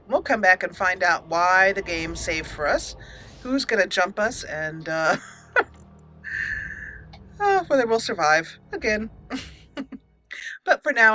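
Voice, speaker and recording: Bright-voiced woman narrating a roleplay playthrough. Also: medium pitch, a little hiss or hum. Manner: expressive, talks to the game characters. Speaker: gaming channel host. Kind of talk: roleplay game commentary with storytelling narration.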